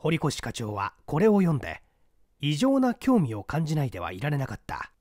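Male storyteller for literary mystery: animated delivery, fairly high voice, dry close sound. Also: a little fast, no room sound. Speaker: audiobook reader. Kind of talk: dramatic literary narration in Japanese.

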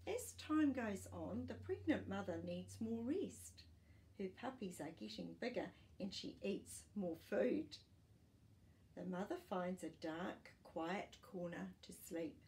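A woman in her sixties reading a children's picture book aloud in an expressive, teacherly way, as if to young listeners. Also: lowish voice, a little hiss or hum, poor audio. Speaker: children's storytime reader. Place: small furnished living room, indoors.

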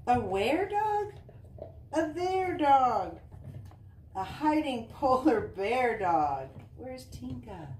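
A woman reading a picture book aloud to children, expressive and singsong, with chatty asides, recorded indoors on a camera a short distance away. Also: sits high, drawn-out words. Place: living room indoors. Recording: roomy, distant camera sound with faint noise.

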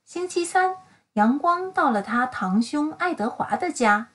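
A high-voiced woman reads a children's story aloud. Her delivery swings widely up and down in pitch, with animated, careful enunciation that keeps each phrase distinct. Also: a little slow. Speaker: storybook reader. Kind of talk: expressive bilingual children's read-aloud.

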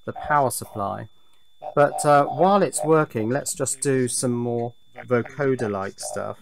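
A man's voice: mid-range voice, measured pace, vocal fry, very noisy. A British man presenting an electronics project in a casual, thinking-aloud way, demonstrating as he goes. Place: indoors at a workbench.

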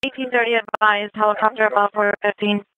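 A woman's voice: mid-range voice, flat delivery, fast talker, clean tone, phone-quality sound, soft background music. A woman working as a police radio dispatcher, repeating reports in clipped, procedural phrases over a scanner radio. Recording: noisy police scanner radio audio with clicks.

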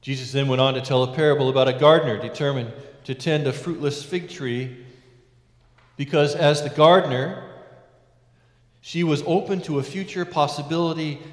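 A middle-aged man, a priest, preaching a sermon from a lectern in a large church, measured with emphatic rises and hushed endings. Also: medium pitch. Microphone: lectern mic, far camera. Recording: echoey church with long reverb.

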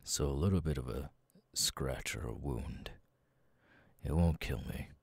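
A man playing a stoic, battle-hardened military captain with a husky, breathy voice in a dramatic ASMR audio roleplay, speaking in terse, deliberate phrases. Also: very deep voice, no room sound, full character voice. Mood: steady, reassuring. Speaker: voice actor, ASMR artist.

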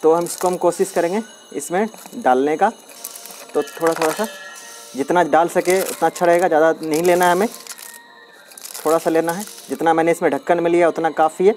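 A man's voice: on the high side, fairly even intonation, fairly quick, darkish tone, soft background music. A man chatting casually as he shows a hands-on experiment step by step, recorded outdoors on a phone held over his hands. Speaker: DIY experiment vlogger. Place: outdoors on a concrete floor, at dusk.

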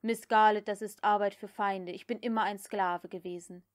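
A woman's voice: medium pitch, fairly even intonation, normal speed, lightly acted. An anxious male house servant, acted by a woman narrator, speaks with deference and injured pride. He answers tactfully and plaintively, as a character in a novel read aloud. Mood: indignant, plaintive. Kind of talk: audiobook character dialogue.

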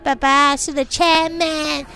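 A woman's voice: high voice, flat delivery, takes it slowly. A woman playing a cheeky, whiny little daughter in a comedic radio drama, with a childish Singaporean delivery. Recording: radio drama over prominent music.

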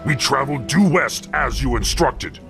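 An actor playing a loyal orc soldier in a fantasy game, reporting dutifully to his chief in a gravelly voice. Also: medium pitch, lively intonation, moderate pace, fairly bright tone, soft background music. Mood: dutiful, grim. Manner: dutiful, emphatic military reporting. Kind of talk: fantasy video game acting.

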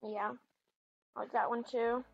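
A woman's voice: fairly high pitch, flat delivery, a little slow, creaky, lo-fi sound, faint music behind. A woman chatting casually as she role-plays through a video game as the host. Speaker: gaming video host, mother playing with her daughter. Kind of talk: casual role-play chat over gameplay.

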